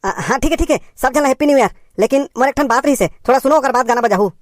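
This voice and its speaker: Lady doing a worried cartoon village uncle, chhattisgarhi accent, kinda high voice, lecturing youngsters, bit hesitant. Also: rapid-fire, dry recording, clean studio sound, natural voice. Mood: hesitant, interrupting. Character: concerned village uncle gently scolding rowdy youngsters. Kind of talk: cartoon comedy dialogue.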